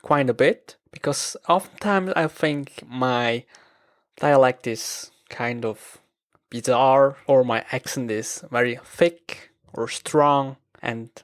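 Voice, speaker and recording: Swiss German-accented male host, unhurried reflective solo talk, mid pitch. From Switzerland. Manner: slow, reflective, self-deprecating. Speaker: podcast host. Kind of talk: solo podcast monologue.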